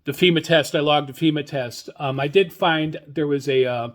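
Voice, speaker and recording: A man casually hosting a solo radio hobby show, talking enthusiastically in a halting, rambling way and often repeating his words. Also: a little high.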